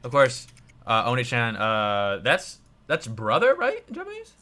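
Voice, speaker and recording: A young man casually reviewing songs on a livestream, rambling with lots of fillers and trailing off quietly. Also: medium pitch, huge pitch swings, measured pace. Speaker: music reviewer, streamer.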